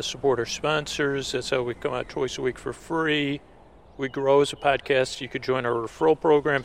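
A man with a creaky voice hosting a podcast, speaking casually in a low-key, halting, meandering way to his listeners. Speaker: podcast host. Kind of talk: casual, rambling thanks and sign-off to listeners.

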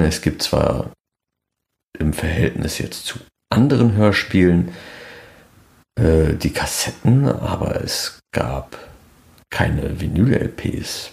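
A man's voice: rumbling low, vocal fry, darkish tone. A middle-aged man hosting a fan podcast, talking on his own to the listeners. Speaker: podcast host.

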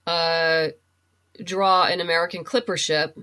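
A woman's voice: fairly low pitch, steady tone, a little slow, bright, forceful tone. A woman talking casually and enthusiastically as a guest in an interview, in a chatty way. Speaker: guest, writer and audio drama producer.

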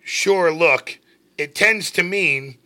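A middle-aged man co-hosting a casual show, reading slang out loud with jokes and a mock Irish accent. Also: fairly high pitch, slow pace. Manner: joking, puts on a playful Irish accent for the sample sentences. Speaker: co-host.

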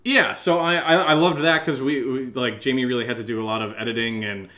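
A man co-hosting a podcast, answering questions in a casual, jokey, rambling and halting way. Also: mid-range voice, steady tone, bright, forceful tone. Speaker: co-host of an audio drama podcast answering listener questions. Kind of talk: casual unscripted Q&A chat.

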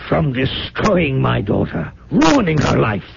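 An actor playing a stern, dying wealthy father in a 1970s radio drama, speaking in a halting, menacing way. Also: medium pitch, huge pitch swings, creaky, exaggerated voice. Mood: grimly defiant. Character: dying wealthy father, stern, vowing to stop his son-in-law. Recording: muffled, hissy 1970s radio broadcast.

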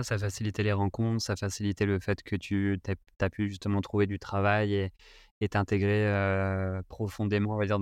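A male podcast host puts questions to his guest in a steady manner. His voice is lowish and quite flat in melody, smooth and clear. It is recorded dry and close. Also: no room sound. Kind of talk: casual interview questions.

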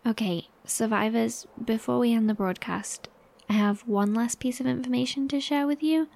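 A woman's voice: fairly high pitch, normal speed, gravelly, a little mellow. A woman playing a caring, anxious survivors' broadcaster in an audio horror drama. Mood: composed. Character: Jess, caring, anxious female bunker broadcaster.

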